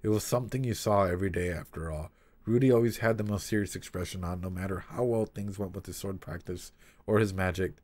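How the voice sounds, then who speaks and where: A man streaming a casual read-aloud with commentary, talking in an animated, swearing way with frequent asides. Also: deep voice, fast talker.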